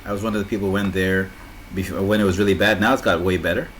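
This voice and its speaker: Brief: young man from South Sudan, low voice, halting anecdotes full of fillers, faint background hiss. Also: fairly even intonation, fairly bright tone. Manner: hesitant, many fillers, laughs while telling stories. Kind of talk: casual chat, storytelling.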